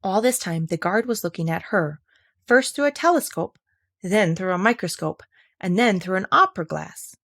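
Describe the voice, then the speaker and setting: Female storybook narrator, mid-pitched and expressive, recorded dry without room sound. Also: normal speed, dry recording. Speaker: audiobook reader.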